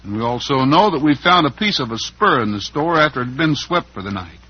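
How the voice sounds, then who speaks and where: An actor playing a steady, fair-minded cowboy hero in an old-time western radio drama. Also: male voice, medium pitch, fairly quick, husky. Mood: determined, reasoning. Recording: muffled vintage radio broadcast.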